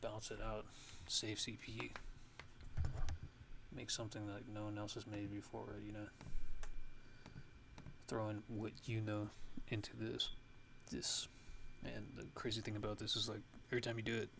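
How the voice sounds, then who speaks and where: A man talks through a music production tutorial in a fairly low, gravelly voice. His delivery is halting and laid-back, like a casual ASMR walkthrough, and his intonation stays on the flat side. Clear background noise sits behind him on a home recording.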